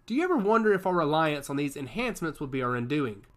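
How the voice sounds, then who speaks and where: A man's voice: fairly high pitch, very animated, quick pace. A man voicing a thoughtful young woman scout with cybernetic eyes in a read-aloud story. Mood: earnest.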